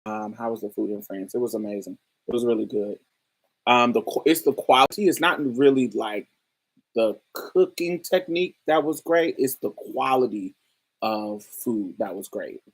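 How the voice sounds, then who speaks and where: A woman's voice: rumbling low, moderate pace, rough recording. Laughing New woman telling stories. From New Orleans, USA. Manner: animated storytelling, frequent laughter, swears freely. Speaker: host. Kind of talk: casual livestream chat with viewers.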